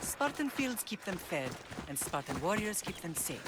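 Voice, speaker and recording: A woman playing a stern yet loving older Spartan mother in a video game, speaking in a measured, solemn way. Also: on the low side, very animated, heavy background noise.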